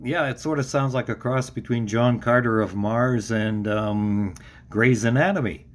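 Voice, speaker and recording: A middle-aged man with an average-pitched voice hosts a podcast interview. His delivery is conversational and informal, with a wry, joking streak. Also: measured pace. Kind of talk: casual, conversational podcast hosting.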